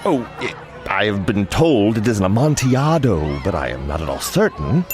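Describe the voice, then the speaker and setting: A cunning nobleman out for revenge, speaks in a radio drama over clear music. His delivery is theatrical and measured, with very wide swings of pitch and a smooth show of deference over a scheming core. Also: big pitch swings, a little mellow. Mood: coy, falsely reluctant. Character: cunning vengeful nobleman, outwardly fawning, secretly scheming. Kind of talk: dramatic radio play narration and dialogue.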